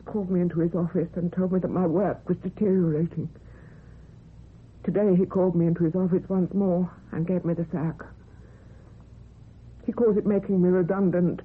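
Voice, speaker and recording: An actress playing a lonely, fragile office worker, childlike and devoted, earnest and emotional, in a radio suspense drama. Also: female voice, fairly low pitch, slightly rough. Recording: thin, narrow old radio recording.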